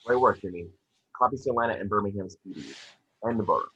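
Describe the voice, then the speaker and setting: A man plays a commanding federal boss with a lowish, forceful voice. He is terse and rattles his lines out quickly, as in a script read. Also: dull audio. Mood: brisk. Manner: brisk, terse. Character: brisk, commanding male federal agent boss. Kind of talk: screenplay table read acting.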